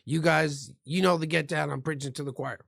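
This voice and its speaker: A man's voice: on the high side, fairly even intonation, fairly quick, gravelly, fairly bright tone, breathy edge. A man who works as a defense lawyer, explaining the law like a lecturer in profane street slang. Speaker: guest criminal defense lawyer.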